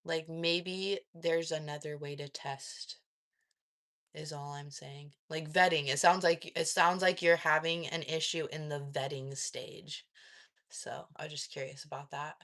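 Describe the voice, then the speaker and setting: Woman host, slightly low, curious. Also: steady tone, measured pace, a bit bright. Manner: probing, asks follow-up questions; laughs often, casual filler words. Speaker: livestream host reading chat. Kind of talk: casual live-stream chat.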